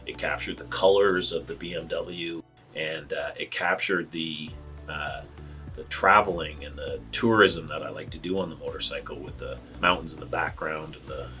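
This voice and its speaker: A man in his fifties from Canada, talking reflectively in a documentary interview, often pausing and restarting his phrases. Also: rumbling low, fairly even intonation, bright, forceful tone, faint music behind. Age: 50s.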